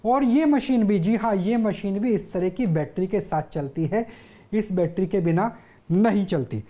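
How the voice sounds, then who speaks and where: A woman's voice: medium pitch, lively intonation, unhurried, mellow. A woman presenting TV news in a live demonstration style, explaining step by step with emphatic repetition.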